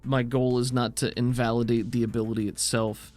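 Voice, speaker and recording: American man, average-pitched voice, tabletop game master, casual explanatory talk, measured manner, soft music underneath. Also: flat delivery. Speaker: game master and podcast host.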